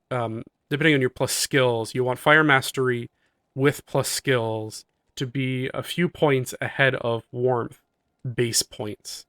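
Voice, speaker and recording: A man with a nasal voice, getting over a cold, giving a relaxed, explanatory walkthrough of his game setup. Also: medium pitch, gravelly, no echo, studio quality. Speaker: gaming channel host. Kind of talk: casual gameplay guide commentary.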